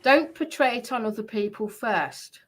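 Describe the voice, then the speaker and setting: A woman's voice: on the high side, animated, normal speed, a little hiss or hum. A woman in casual, argumentative livestream chat, blunt, defensive and emphatic in the way she talks.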